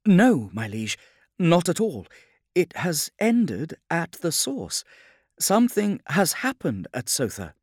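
A man's voice: on the low side, big pitch swings, normal speed, few pauses, no room sound, clean studio sound. A man voicing an anxious, refined noblewoman who navigates starships, with an upper-class accent, in a sci-fi audiobook. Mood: afraid, insistent.

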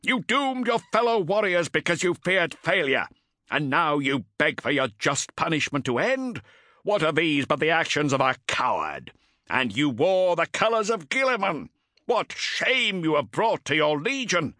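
A man voicing a harsh male taskmaster who shouts accusations like a drill sergeant. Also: fairly high pitch, big pitch swings, a little slow, no echo, studio quality, voice pushed up, full character voice. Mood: accusing.

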